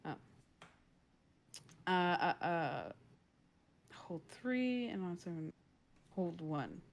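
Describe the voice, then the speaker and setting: A woman's voice: on the low side, big pitch swings, very slow, gravelly. A woman chatting casually as a player in a tabletop roleplaying game.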